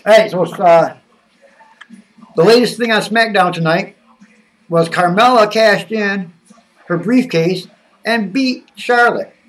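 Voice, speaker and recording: A man hosts a casual live stream, rambling and joking as he thinks out loud. His voice sits somewhat high for a man. He sounds a little distant from the microphone, as if he were sitting back from it. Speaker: live-stream wrestling reaction host. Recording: voice a bit distant from the mic.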